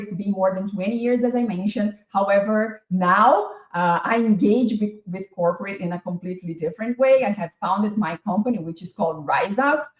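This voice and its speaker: Energetic Brazilian-accented woman presenter. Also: mid-range voice, roomy sound, rough recording. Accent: Brazilian Portuguese. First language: Portuguese. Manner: energetic, enthusiastic and talkative. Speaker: masterclass presenter. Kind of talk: online masterclass presentation.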